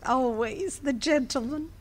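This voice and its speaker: An actress playing a cheerful, teasing wife in a live radio play, laughing often as she needles her husband. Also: high voice. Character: cheerful teasing wife, good-natured and knowing.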